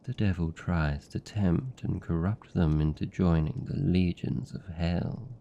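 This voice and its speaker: Male actor as seductive vampire captor, British accent, gravelly fry, dark mellow tone, hushed, possessive, intimate ASMR roleplay. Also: sits low, dull audio. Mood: brooding, musing. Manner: slow, hushed, deliberate, teasing.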